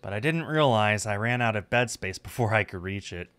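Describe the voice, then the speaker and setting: Animated man hosting a game livestream. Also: on the low side, brisk, clear, resonant tone. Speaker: game streamer, host. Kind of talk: livestream chat, reading game text aloud.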